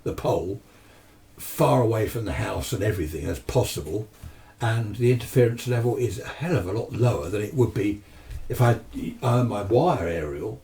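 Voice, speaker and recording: A man's voice: fairly low pitch, lively intonation, moderate pace, warm tone. A British man giving a casual, unscripted hobby talk as an amateur radio enthusiast, chatty, rambling and matter-of-fact. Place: home radio shack, indoors. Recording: distant microphone, noisy room ambience.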